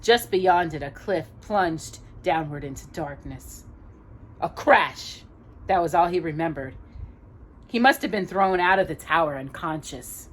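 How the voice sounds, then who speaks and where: Woman reading a storybook in a lowish voice. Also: a little slow. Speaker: storytime reader. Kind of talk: expressive children's storybook narration.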